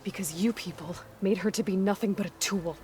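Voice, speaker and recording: An actress playing a guarded, blunt and skeptical young warrior heroine in a video game, with a direct, probing manner. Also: female voice, mid-range voice, a little fast, mellow, faint music behind, lightly acted. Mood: skeptical, accusing. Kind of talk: video game cutscene acting.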